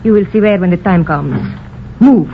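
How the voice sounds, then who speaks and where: A woman's voice: on the low side, normal speed, lightly acted. An actress playing a fierce, commanding young village woman with a curt, defiant delivery in old radio drama style. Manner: curt, defiant, stiffly formal. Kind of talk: 1950s radio drama acting. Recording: tinny, noisy old radio broadcast.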